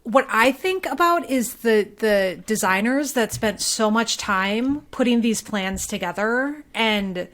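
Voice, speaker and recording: A woman's voice: fairly high pitch, lively intonation, slow, hardly any pauses, a bit bright. A woman co-hosting a podcast in casual conversation, thinking aloud and hedging her points as she goes. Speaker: podcast co-host.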